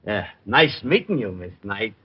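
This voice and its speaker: A man's voice: on the low side, very animated, measured pace, slightly rough, no echo, exaggerated voice. An American actor playing a dim, bashful, grumbling male police sergeant, slangy and hesitant, in 1940s radio style. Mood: awkwardly smitten. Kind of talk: 1940s comic radio acting. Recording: muffled old radio recording.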